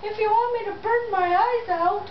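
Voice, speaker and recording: A woman's voice: extremely high pitch, normal speed, recorded from a distance, pitched above the performer's own voice. A woman voicing a skeptical, contrary puppet in a homemade puppet show, mocking and scoffing. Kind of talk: improvised puppet show banter. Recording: muffled, very noisy roomy home video.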